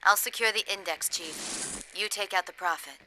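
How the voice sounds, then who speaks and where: A woman playing a brisk, commanding military commander in a video game, crisp and authoritative. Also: medium pitch, noisy background, close to an everyday voice. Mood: resolute. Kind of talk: video game cutscene acting.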